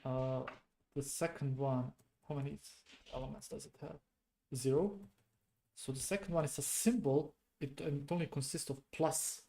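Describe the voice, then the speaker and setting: A man thinking aloud as he debugs code at his desk, slow and halting, muttering to himself. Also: mid-range voice, fairly even intonation, warm tone. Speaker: programming streamer.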